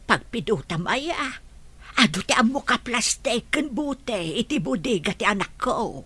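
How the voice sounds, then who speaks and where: A woman's voice: on the high side, animated, measured pace, fairly bright tone, breathy edge, no echo, full character voice. A woman playing a confused, forgetful old grandmother in an audio drama, rambling and insistent.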